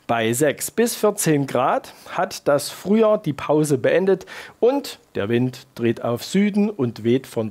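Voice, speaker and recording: A man in his thirties presenting scripted regional news and weather in a measured, formal announcing manner with clear emphasis. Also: on the high side, a little mellow. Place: TV studio.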